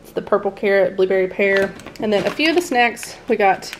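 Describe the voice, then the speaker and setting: A woman's voice: mid-range voice, lively intonation, fairly quick, a little hiss or hum, low-quality recording. A woman, chatting casually through a grocery haul at home, rambling and laughing often. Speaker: family vlogger. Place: home dining room, indoors.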